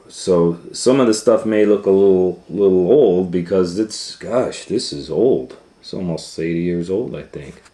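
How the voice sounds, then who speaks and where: Deep, mellow, unhurried male storyteller. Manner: conversational, hesitant, gently explaining asides. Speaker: storytime host and reader. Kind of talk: informal storytelling for children.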